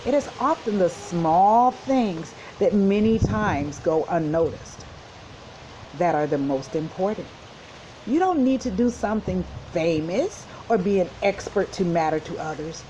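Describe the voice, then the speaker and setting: A woman voicing a small, proud female bumblebee in a children's storybook, shrill, instructive and encouraging. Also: lively intonation, a little slow, mellow, a little hiss or hum, low-quality recording. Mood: earnest, encouraging. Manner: instructive, reassuring. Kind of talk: children's storybook read-aloud.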